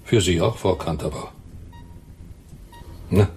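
An actor in a German radio play, playing a calm, reassuring hospital doctor on night shift. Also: male voice, sits low, takes it slowly, a little hiss or hum. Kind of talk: German radio drama acting.

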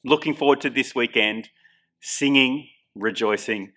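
A man's voice: medium pitch, a little slow, sharp tone. A middle-aged man with an Australian accent, a senior minister delivering church news to camera in an upbeat, encouraging way. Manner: upbeat, encouraging, emphatic on dates and times. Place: indoor studio set with plants and coloured lights. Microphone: camera framing, head and shoulders.

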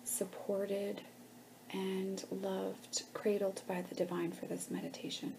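A woman leading a guided meditation in a gentle, hushed, soothing manner with pauses between phrases. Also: medium pitch, little pitch movement, gravelly, noisy background.

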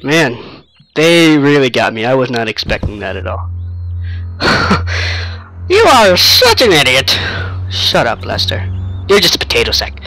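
A young man talks his way through a horror game on a headset mic, slow and drawn out, with his pitch swinging widely between murmurs and yelps. He jokes with an imaginary sidekick to calm his nerves. Loud eerie game music plays underneath. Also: rough recording. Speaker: game streamer. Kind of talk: horror game let's play commentary.

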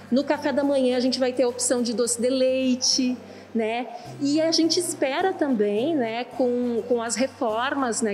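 A woman in her thirties with a Brazilian Portuguese accent talks in a chatty, high voice, tagging sentences with "né". She speaks into a handheld mic in a large kitchen, with soft music in the background. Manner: chatty, frequent "né" fillers. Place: large kitchen with steel tables. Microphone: handheld reporter mic.

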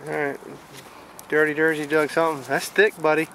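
A man's voice: fairly high pitch, level tone, a little fast, fairly bright tone. A man chatting casually and jokingly while hosting a handheld vlog, often repeating his phrases. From US South. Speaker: vlog host, relic hunter. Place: open farm field outdoors. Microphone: handheld camera microphone. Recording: noisy windy outdoor camcorder audio.

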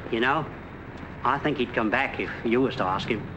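A man playing a blunt, rough-spoken cabbie. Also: medium pitch, moderate pace, dull audio, close to an everyday voice. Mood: pleading. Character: rough-spoken middle-aged cab driver, friendly. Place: night street by a car. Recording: noisy old outdoor film soundtrack.

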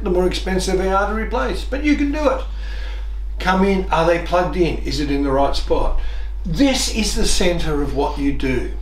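A man in his fifties giving a plain-spoken, methodical hands-on tutorial, explaining each step in everyday terms as an instructor. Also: high-pitched. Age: 50s. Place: small indoor music room with keyboards. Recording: roomy, echoing indoor sound.

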